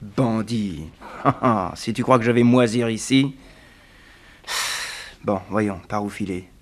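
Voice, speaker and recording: An actor playing a wry, resourceful detective held prisoner, in the theatrical, ironic style of a 1960s French radio serial. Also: male voice, fairly low pitch, slow. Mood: scheming, determined. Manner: theatrical, ironic, muttering to himself.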